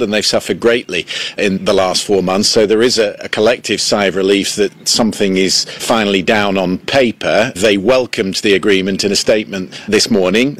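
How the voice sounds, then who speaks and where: A male reporter gives a steady, explanatory field report. His voice sits fairly low. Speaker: correspondent.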